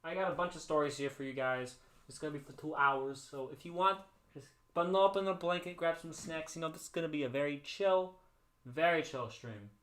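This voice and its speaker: A man's voice: on the high side, fairly quick, fairly bright tone. A man hosting a livestream, telling stories casually in a rambling way, often restarting and repeating his phrases. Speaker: livestream host. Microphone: streaming microphone he adjusts by hand.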